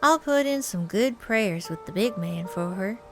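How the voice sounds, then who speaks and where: A woman voicing a mild, earnest male pastor in a visual novel, gentle, wordy and prim, with music behind. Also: mid-range voice, lively intonation, unhurried. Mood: polite, grateful. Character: mild, earnest male small-town pastor, fretful and devout.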